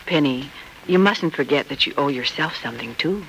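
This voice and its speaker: A woman's voice: deep voice, normal speed. An actress playing a solicitous, supportive middle-aged American mother in an old radio soap opera. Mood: tender. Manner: solicitous, expressive. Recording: noisy vintage radio drama recording.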